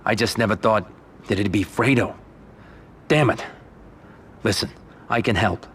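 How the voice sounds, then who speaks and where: An actor playing a loyal young mob captain in a game cutscene, asking earnest, direct questions. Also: male voice, lowish voice, unhurried, a bit bright, slight background noise. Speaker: mob underling. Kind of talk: video game cutscene acting.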